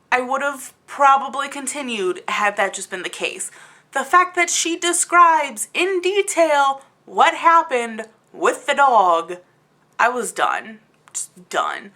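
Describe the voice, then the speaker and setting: A woman's voice: fairly high pitch, sharp tone. A woman giving a casual, opinionated review to camera as a book vlogger, talking in an emphatic, exasperated, conversational way.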